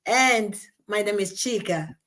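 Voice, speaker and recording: A woman's voice: medium pitch, takes it slowly, fairly bright tone. A woman hosting an online chat show over a video call, who talks chattily with plenty of fillers and keeps encouraging her guest. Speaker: host.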